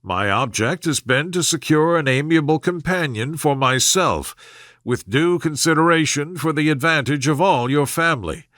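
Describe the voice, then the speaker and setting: A man's voice: a little high, moderate pace, a bit bright, no room sound, clean studio sound, natural voice. Man as a pompous, long-winded young suitor. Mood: stiff resignation. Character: pompous, wounded young clergyman suitor, stiffly formal.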